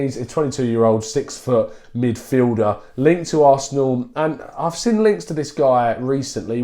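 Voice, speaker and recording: A man's voice: mid-range voice, a little mellow. A man hosting a football fan show, chatty, with self-deprecating asides as he introduces the topic and his guest. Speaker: host. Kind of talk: casual presenting to camera.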